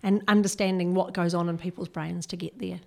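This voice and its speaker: A woman's voice: fairly low pitch, fairly even intonation, brisk, creaky. Middle-aged New Zealand woman in conversation. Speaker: guest, personal branding consultant. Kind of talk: conversational interview.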